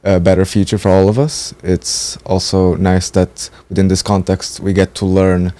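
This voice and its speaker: A man's voice: low voice, flat delivery, moderate pace, dark tone. A man in his twenties from Portugal, speaking fluently and thoughtfully in a casual conversation. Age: 20s. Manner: fluent and thoughtful, building longer sentences. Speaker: training participant.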